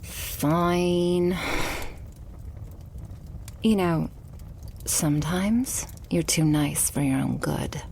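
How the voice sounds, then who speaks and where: Woman playing a slow, breathy, possessive vampire girlfriend. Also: low-pitched, mellow, a little hiss or hum, exaggerated voice. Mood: adoring. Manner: slow, breathy, drifting into whispers. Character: devoted, possessive, murderous vampire girlfriend, tender yet menacing. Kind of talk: intimate ASMR roleplay. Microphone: close microphone.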